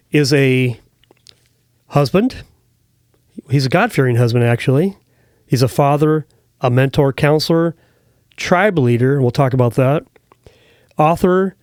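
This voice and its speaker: A man's voice: medium pitch, darkish tone, no echo. A man hosting a podcast interview in a studio, chatty and friendly, with frequent fillers. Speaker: podcast host. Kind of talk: casual interview chat. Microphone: studio mic.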